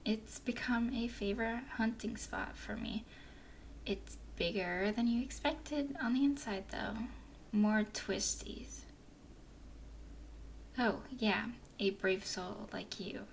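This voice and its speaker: A woman voicing a flirty, teasing female werewolf explorer in an ASMR roleplay, speaking in a soft whisper with a playful drawl. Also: on the high side, takes it slowly, slight background noise.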